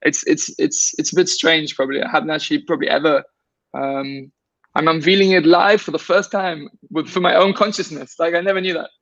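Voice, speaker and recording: A man's voice: a little high, fairly even intonation, fairly quick, creaky, a bit bright, no echo, highs cut off. A man guest in a casual conversation, rambling with many restarts and fillers.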